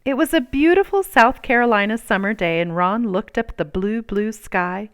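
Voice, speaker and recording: A woman reading a children's storybook aloud in an expressive, deliberate storytelling manner. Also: medium pitch, clear, resonant tone. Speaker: storybook reader.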